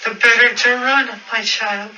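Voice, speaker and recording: Sly high-voiced wolf, acted by a man. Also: fairly even intonation, measured pace, bright, forceful tone, far from the mic, muffled recording, slight background noise, heavily acted. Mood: feigned frailty. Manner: coaxing, mock-polite. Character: sly, wicked, gluttonous male wolf, sweetly deceitful. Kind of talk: fairy-tale radio play acting.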